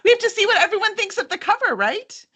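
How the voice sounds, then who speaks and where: Female host, bright tone, enthusiastic and conversational, able to slip into reading copy aloud. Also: very high-pitched, big pitch swings, quick pace. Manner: chatty, enthusiastic. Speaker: host and book publisher's representative. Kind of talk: upbeat interview chat with some reading aloud.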